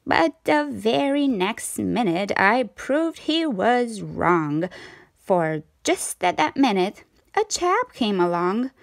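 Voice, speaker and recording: Expressive, slow-paced woman storyteller. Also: mid-range voice, vocal fry, no echo. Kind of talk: expressive children's read-aloud.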